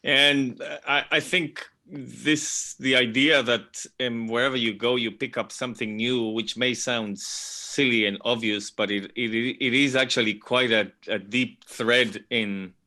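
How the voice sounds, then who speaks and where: A middle-aged man from Venezuela, heard over a video call. His voice is bright and clear. He speaks thoughtfully and circles back with repeated words. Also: medium pitch, steady tone, a little slow. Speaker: panel guest. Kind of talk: online panel talk.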